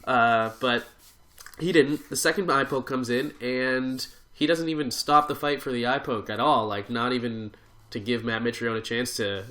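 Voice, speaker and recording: A man hosting a casual sports podcast, hoarse and nasal from a cold, chatty and quick to laugh. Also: mid-range voice, steady tone, bright, forceful tone. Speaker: podcast host. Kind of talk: casual chat.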